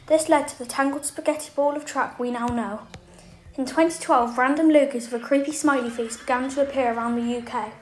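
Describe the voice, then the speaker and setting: A woman's voice: high voice, steady tone, a little fast. A woman reading a scripted documentary narration in an explanatory style.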